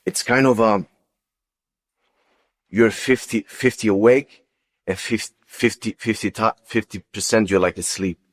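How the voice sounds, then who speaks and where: A man who speaks as a tells his personal story as an interview guest, in a halting, unscripted way with repeated words and phrases. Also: fairly low pitch. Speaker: interview guest giving testimony.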